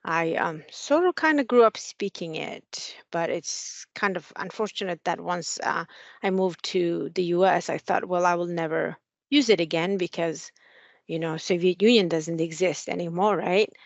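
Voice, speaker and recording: A woman's voice: lowish voice, normal speed, gravelly, no room sound, muffled recording. A woman in her forties from Mongolia with a Mongolian accent, an Air Force officer answering interview questions earnestly with many fillers. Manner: frequent fillers, friendly and earnest.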